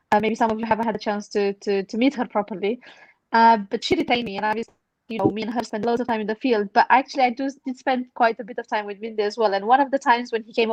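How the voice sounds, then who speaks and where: A young woman, not a native English speaker, telling animated anecdotes casually on a panel podcast. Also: on the high side, no room sound, low-quality recording. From outside the UK. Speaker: podcast panel member, charity fundraiser.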